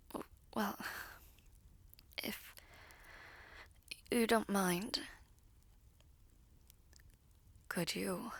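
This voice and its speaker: A woman's voice: mid-range voice, a bit bright, heavily acted. Woman acting a hesitant, weary young villainess sorceress. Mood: shy, tentative. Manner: hesitant, halting, breathy half-whispered speech. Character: guilt-ridden, recovering young villainess sorceress, weary and self-loathing. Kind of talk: intimate audio roleplay drama.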